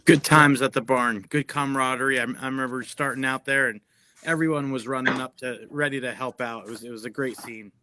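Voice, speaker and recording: Bright-voiced man reminiscing fondly. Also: medium pitch, steady tone, brisk, a little hiss or hum. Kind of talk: casual chat.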